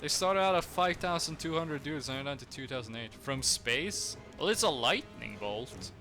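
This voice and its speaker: A man's voice: fairly high pitch, animated, normal speed, bright, forceful tone, faint music behind. Chatty Norwegian man streaming a game. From Norway. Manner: chatty, rambling, many fillers. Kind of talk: casual game commentary and chat with viewers.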